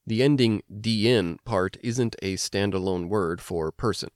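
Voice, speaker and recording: An American man hosting an instructional language podcast, explaining things clearly like a teacher. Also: on the low side, dry recording, clean studio sound. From United States. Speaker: language lesson host and teacher. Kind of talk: instructional podcast narration with personal anecdotes.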